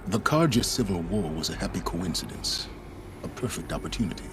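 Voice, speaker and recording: An actor playing a learned, calculating older man in a video game, speaking in a measured, self-assured and deliberate way. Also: sits low, lively intonation, noisy background. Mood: cool, unrepentant. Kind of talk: dramatic video game acting. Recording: music behind the voice.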